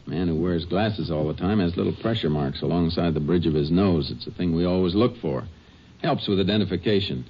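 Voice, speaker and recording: An actor in a 1950s radio drama playing a methodical Texas Ranger who narrates and leads the case in a steady, matter-of-fact way. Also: male voice, sits low, fairly quick, slight background noise. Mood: calm. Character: calm, methodical Texas Ranger lawman, middle-aged. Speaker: narrator and lead. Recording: muffled old radio broadcast with music.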